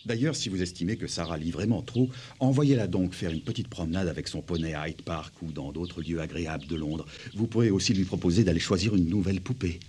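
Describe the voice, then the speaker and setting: An actor playing a courteous, doting middle-aged gentleman father in a French cartoon dub, speaking politely and formally. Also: low voice, fairly quick, hardly any pauses, a little hiss or hum, lightly acted. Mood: courteous, fond.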